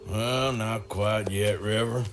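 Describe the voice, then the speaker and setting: An actor playing a gruff, sardonic American outlaw with a Western drawl and a dry, laconic manner in a video game. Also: male voice, lowish voice, fairly even intonation, takes it slowly, soft background music. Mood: dry, weary. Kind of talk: dramatic video game cutscene acting.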